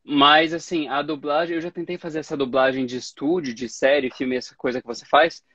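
A man's voice: fairly high pitch, fairly even intonation, a little fast, a bit bright, dry recording, lo-fi sound. A man in his thirties from Brazil, hosting a casual interview over a video call and telling stories with a laugh. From Brazil, Campinas. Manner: chatty, storytelling, laughs easily. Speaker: host. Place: small room at home. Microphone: video call webcam.

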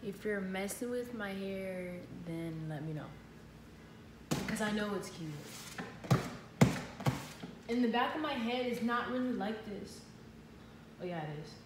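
Young woman vlogger, playful and slangy, unhurried, roomy far-off sound with audible background noise. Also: medium pitch, lively intonation. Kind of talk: casual clothing haul. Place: living room, indoors. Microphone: built-in camera mic from across the room. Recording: echoey room, voice off-mic, ambient noise.

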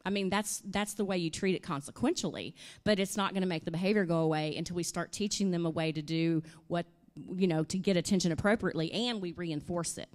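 A woman, speaking through a handheld mic in a hotel conference room. She talks in an animated, chatty way, full of "you know" and "I mean". Her voice sits a little low. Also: fast, hardly any pauses. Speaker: conference presenter. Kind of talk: workshop talk with audience questions. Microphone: handheld microphone.

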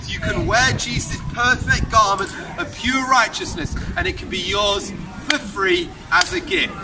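A young man preaching loudly and emphatically to passers-by in an open-air town square, asking the crowd one question after another. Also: high-pitched, measured pace, creaky, over music. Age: young adult. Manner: loud, emphatic, projecting to passers-by; asks the crowd repeated rhetorical questions. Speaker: street preacher. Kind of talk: open-air street sermon. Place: outdoor town square, busy pedestrian street. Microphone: far camera on a tripod. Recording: distant open-air recording, loud street noise. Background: loud street ambience and passing traffic.

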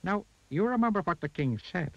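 A man's voice: high voice, animated, a little fast, gravelly, no echo, dull audio, pitched above the performer's own voice, exaggerated voice. A man voicing a cheeky, mischievous goblin in a children's storybook, with a playful, taunting manner. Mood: warning. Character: cheeky, mischievous male goblin, grinning and teasing.

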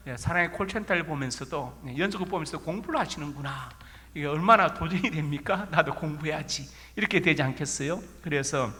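Bright-voiced pastor with a regional accent. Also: male voice, on the high side. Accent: Korean regional dialect. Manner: folksy storytelling with rhetorical questions. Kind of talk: sermon. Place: church sanctuary. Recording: long church reverb.

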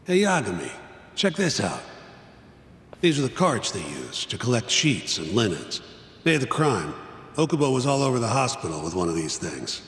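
Jaded middle-aged lawyer, swinging pitch. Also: male voice, very animated, normal speed, a bit bright. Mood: explaining. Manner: blunt, world-weary, lecturing. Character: jaded, exasperated older male defense lawyer. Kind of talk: video game cutscene acting. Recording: echoey hall reverb, music behind.

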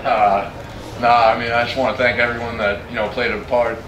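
A man's voice: medium pitch, flat delivery, a little fast. A man in his early twenties, a college football player giving earnest answers at a press conference into a handheld mic. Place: press tent with ambient noise. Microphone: handheld microphone. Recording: roomy, distant handheld mic, clear background noise.

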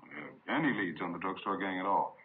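An American actor playing a gruff, commanding chief of detectives, brisk and authoritative, in an old radio crime drama. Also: male voice, low voice, lively intonation. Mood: probing. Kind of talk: 1950s radio acting. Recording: muffled, noisy vintage radio broadcast.

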